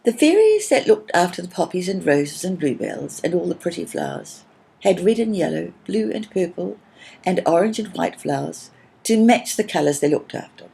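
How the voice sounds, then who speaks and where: A woman playing a kindly, cheerful storybook character who tells tales in a gentle, reassuring way. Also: on the low side, very animated, normal speed, gravelly, slightly rough, exaggerated voice. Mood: happy, reassuring.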